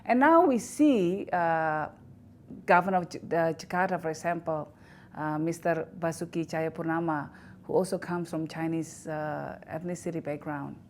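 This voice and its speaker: A woman from Indonesia with an Indonesian accent, answering interview questions in a halting way with many fillers and restarts. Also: sits low, a little mellow, a little hiss or hum. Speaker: interview guest, institute director. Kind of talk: recorded news interview.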